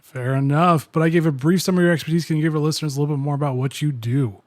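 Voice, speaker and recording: A man's voice: fairly high pitch, fairly even intonation, racing, dry recording, studio quality. A man hosting a podcast, introducing a guest and asking friendly, conversational questions. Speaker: podcast host.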